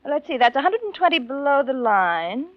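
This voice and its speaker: A woman's voice: high-pitched, big pitch swings, moderate pace, no room sound. A woman playing a cutting, socially busy professor's wife in a 1940s radio drama, sugary and condescending. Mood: scornful, brisk. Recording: muffled vintage 1940s radio recording.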